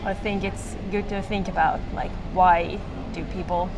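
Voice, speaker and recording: A woman's voice: fairly low pitch, steady tone, a little slow, background noise. A young woman from Finland, a comedian, chatting casually in an interview, hesitant with many fillers and restarts.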